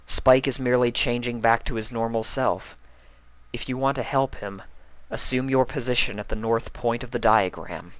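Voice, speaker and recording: A man voicing a calm, regal, motherly alicorn princess in a story reading, measured, gracious and formal. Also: mid-range voice, smooth, no echo, voice pushed up, lightly acted, rough recording. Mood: firm, calming.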